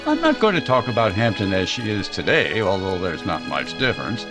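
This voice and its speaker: Male actor as small-town reminiscer for vintage radio play: plainspoken, very varied pitch, clear underscore. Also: sing-song, brisk, over music. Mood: genial, reminiscing. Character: folksy small-town Missouri man narrating his reminiscences. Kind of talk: old-time radio drama narration.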